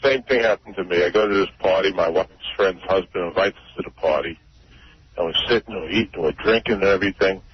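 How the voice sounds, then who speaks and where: A man's voice: low-pitched, fast talker, a little hiss or hum. A man calling in to a radio show and casually telling an anecdote. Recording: dull muffled call-in radio audio.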